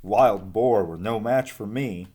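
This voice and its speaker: A man's voice: on the low side, slow pace, dark tone, breathy edge. A man voicing a boastful, gabby old male green snake in a storybook. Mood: bragging.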